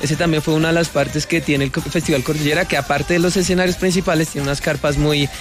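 A man's voice: fairly high pitch, fairly even intonation, fast, over music. Eager man reporting on radio. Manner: enthusiastic, storytelling. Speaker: festival reporter. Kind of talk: casual radio chat. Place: radio studio.

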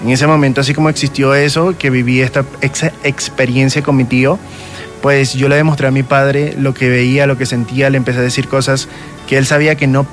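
A Venezuelan man speaks as an interview guest, recounting personal experiences in a conversational way. He has a mid-range voice and often checks he is understood. Quiet music sits behind him. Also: medium pitch, flat delivery, brisk. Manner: frequent check-in tags. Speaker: guest, self-described medium.

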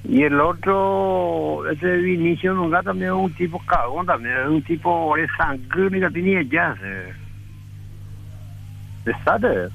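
A man, rambling about football on a radio show in a halting, slangy way. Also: a little high, lively intonation, creaky, phone-quality sound. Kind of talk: casual football talk on radio.

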